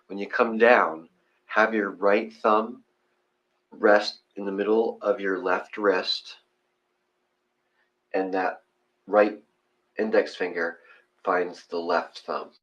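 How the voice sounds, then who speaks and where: A man with a very low voice instructs a guided meditation step by step. His delivery is informal and gently encouraging. The recording is dull and slightly muffled. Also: level tone, takes it slowly, long pauses.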